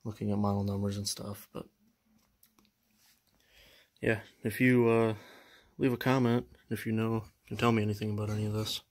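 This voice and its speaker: A young man talks through his gear in a low, steady voice that barely rises or falls. He sounds casual and unsure, pausing often and trailing off with fillers as he shows his collection. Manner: unsure, hesitant, many fillers and trailing phrases. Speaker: vlogger showing his radio collection. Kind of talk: casual show-and-tell walkthrough.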